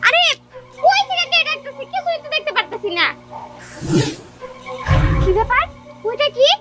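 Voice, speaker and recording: A squeaky, fearful villager. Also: male voice, expressive, normal speed, loud music behind the voice. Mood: puzzled. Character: timid young villager, easily frightened. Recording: echoey, distant, noisy outdoors.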